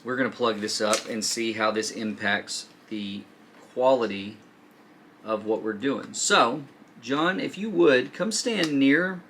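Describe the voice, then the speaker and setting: A man's voice: mid-range voice, bright, forceful tone, a little hiss or hum. A man hosting a casual, joking product demo, informal and teasing as he explains the features. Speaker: host of a product review show. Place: studio.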